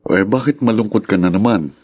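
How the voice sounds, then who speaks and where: A man's voice: lowish voice, a little fast, darkish tone, breathy edge, highs cut off. A man playing a concerned, easygoing young friend with a Filipino accent in a radio drama. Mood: concerned, coaxing.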